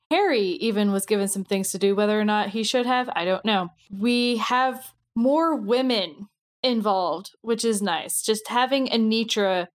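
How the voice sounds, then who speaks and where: Woman co-hosting a casual review podcast. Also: medium pitch. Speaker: podcast co-host.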